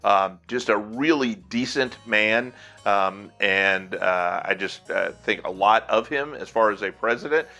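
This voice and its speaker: A man's voice: fairly low pitch, unhurried, bright, forceful tone, soft background music. An older American man hosting a casual podcast history segment in a conversational, folksy, rambling way. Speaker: podcast host.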